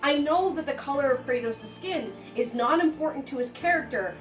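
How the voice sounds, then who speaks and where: A woman's voice: high voice, lively intonation, faint music behind. Blunt, profane woman on a podcast. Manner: fast, blunt, profane, does playful impressions. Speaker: podcast co-host. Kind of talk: casual podcast chat. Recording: echoey room, far from the mic.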